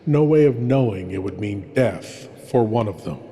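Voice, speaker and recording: A man's voice: fairly low pitch, expressive, moderate pace, dark tone, slight background noise, close to an everyday voice. A man playing a sinister horror host, narrating a scripted anthology in an ominous, theatrical manner. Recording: long cavernous reverb with music.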